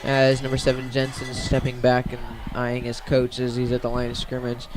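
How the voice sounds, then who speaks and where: A man's voice: mid-range voice, monotone, brisk, low-quality recording. A man giving radio-style play-by-play commentary on a football game.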